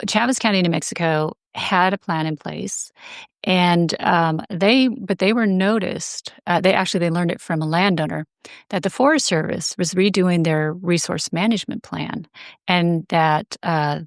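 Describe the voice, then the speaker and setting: Low-pitched woman, creaky voice. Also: no echo, studio quality. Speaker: guest, advocacy group director. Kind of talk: conversational interview explanation.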